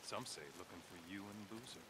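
A shrewd, domineering leader of a survivalist camp in a video game, played by a man. His low voice is folksy and deliberate, in clipped phrases. Rain noise is clear behind him. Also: close to an everyday voice. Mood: grim, matter-of-fact. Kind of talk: video game cutscene acting.

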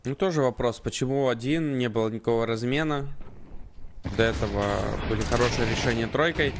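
Man, esports caster, mid-pitched voice, analytical live commentary, measured phrasing, noticeable gunfire and game noise behind.

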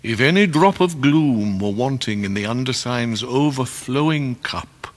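An actor playing a pompous, theatrical English gentleman in debt, speaking in florid, orotund phrases in a radio drama. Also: mid-range voice, animated, a little slow, lightly acted. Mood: gloomy, grandiose. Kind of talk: 1990s British radio drama acting.

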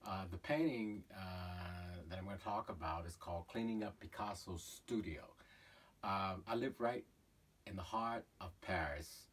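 A middle-aged man, a painter, talking casually about his own painting in a halting way with many fillers, filmed in a cluttered studio. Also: deep voice, slightly rough. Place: cluttered art studio indoors. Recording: voice from far off, faint room noise.

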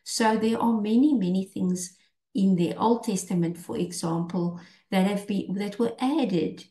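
A woman in her fifties teaching the Bible in an earnest, unhurried way, pausing and restarting often. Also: mid-range voice, warm tone. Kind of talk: unscripted Bible teaching over shared screen. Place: small indoor room. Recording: echoey room.

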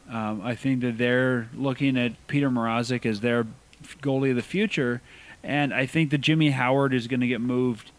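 A Canadian man co-hosting a podcast in casual sports chat, asking questions and thinking aloud. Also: mid-range voice. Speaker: podcast co-host.

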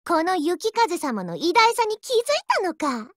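A woman's voice: extremely high pitch, sing-song, normal speed, no room sound, clean studio sound. A woman voicing a haughty, childish anime warship girl in a mobile game, boastful and tsundere in manner. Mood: smug, boastful. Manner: boastful, tsundere, ends sentences with a verbal tic. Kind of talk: Japanese anime game character voice lines.

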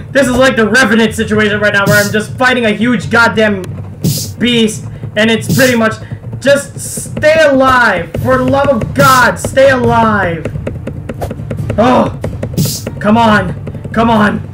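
Slow-paced young man commentating over game music. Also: very high voice, poor audio. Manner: exasperated, sweary. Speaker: gaming video host. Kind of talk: casual let's play commentary.

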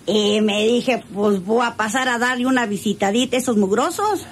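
An actress with a Mexican accent playing a cheeky, gossipy country servant in a radio drama. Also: female voice, fairly high pitch, moderate pace, slight background noise, close to an everyday voice. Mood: breezy, teasing.

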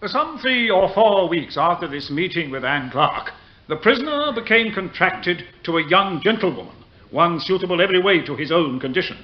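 An actor playing an earnest prosecuting attorney in a period radio play, formal and measured. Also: male voice, sits high, sing-song. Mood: grave, narrating. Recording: muffled radio drama recording.

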